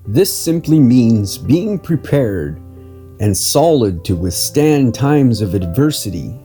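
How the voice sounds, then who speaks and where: A man reading an inspirational article as a podcast host, in a measured, earnest manner with deliberate phrasing. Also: medium pitch. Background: soft plucked guitar music.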